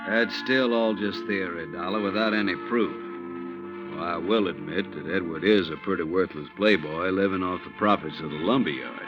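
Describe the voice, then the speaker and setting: An actor playing a businesslike, skeptical police lieutenant in a vintage radio drama, speaking in an official, measured manner. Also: male voice, sits low, lightly acted. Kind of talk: 1950s radio drama acting. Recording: dull vintage radio recording with music.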